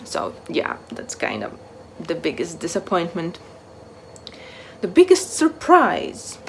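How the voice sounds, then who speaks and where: Woman doing a casual book vlog, lowish voice, slow halting talk that trails off, bit of room noise. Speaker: book vlogger. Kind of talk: casual chat to camera, answering a book tag.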